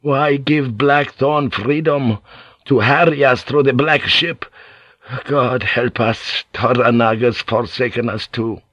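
Man voicing a worried priest, higher and urgent, dry close recording. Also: takes it slowly, a bit bright, no room sound, highs cut off. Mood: anxious. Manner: raised, urgent and fretful. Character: anxious, fervent male Jesuit priest.

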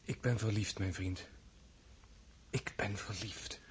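A man's voice: fairly low pitch, fairly even intonation, takes it slowly, a little hiss or hum. An actor playing a serious young nobleman who talks in a reserved, hesitant way and sometimes stammers.

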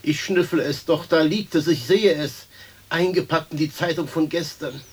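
An actor playing an earthy, hungry grumbler in a radio play, coarse and plain-spoken. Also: male voice, a little high, normal speed, slightly rough. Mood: grumbling. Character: hungry, grumbling, earthy common man stuck on a branch. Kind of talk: German radio play acting.

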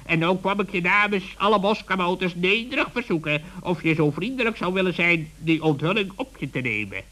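High, sly, courteous forest creature. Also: male voice, expressive, a little fast, pushed and bright. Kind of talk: children's radio play acting.

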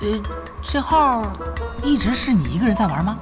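An eager young party leader in a radio play. He is chatty and coaxing, his voice bouncing with lively pitch, with music underneath. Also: normal speed. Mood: bewildered. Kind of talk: Chinese audio drama acting.

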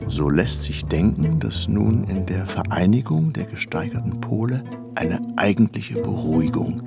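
An actor playing a self-absorbed, pompous great poet who muses to himself in a halting half-murmur, in a radio play. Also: male voice, on the low side, sing-song, creaky, music in the background. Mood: contemplative. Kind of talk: German radio play monologue.

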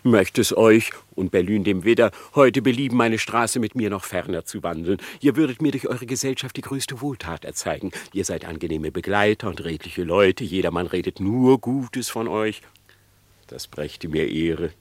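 An actor playing a sly, smug, murderous fox in classic radio drama, with an unctuous, theatrical delivery that savours each phrase. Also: male voice, fairly low pitch, normal speed, no room sound, close to an everyday voice. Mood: flattering, feigned warmth.

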